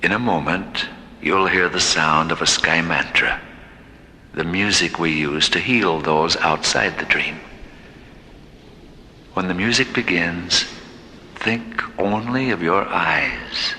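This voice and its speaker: Aleph, deep, solemn alien avatar. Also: male voice, sharp tone, slight background noise, full character voice. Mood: solemn, soothing. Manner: measured, ceremonial, commanding. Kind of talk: old-time radio science fiction acting. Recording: long reverb, music underneath.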